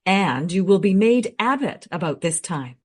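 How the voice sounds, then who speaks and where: Radio play researcher, female actor: knowledgeable, frank, brisk, very expressive pitch swings. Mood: informative, tactful. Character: well-informed, matter-of-fact female history researcher.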